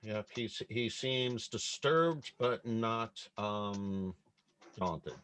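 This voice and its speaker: A man with a very low voice runs a tabletop game as game master. His talk is casual, moving between chat and narration, with slight background noise on the online call. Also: very slow, a bit bright. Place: indoors on an online group call.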